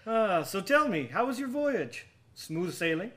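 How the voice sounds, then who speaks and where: A man's voice: sits high, sing-song, measured pace, a bit bright, full character voice. An actor in a radio drama playing a genial, obliging man, eager and polite as he questions his friend. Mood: curious, friendly. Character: genial, obliging male friend of an old sailor.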